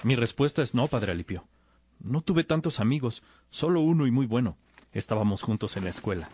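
A man playing an earnest, bookish young disciple in a radio drama, with a husky voice and deferential manner. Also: medium pitch, animated, brisk, darkish tone, no echo. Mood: earnest, questioning.

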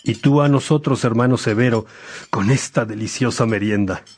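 An actor playing a devout, gracious bishop in a radio drama, preaching to his brothers in an earnest, measured way. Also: mid-range voice, darkish tone, slightly rough, natural voice. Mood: friendly.

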